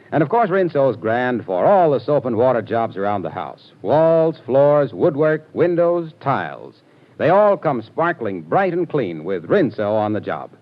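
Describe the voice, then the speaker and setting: A male radio announcer with a hearty, salesy announcer delivery, reading a 1940s radio advertisement. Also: mid-range voice. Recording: dull 1940s radio broadcast with faint music.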